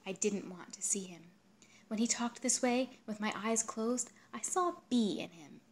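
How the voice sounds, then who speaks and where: Expressive woman reading a literary story. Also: mid-range voice, unhurried, slight background noise. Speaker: translator reading their own literary translation.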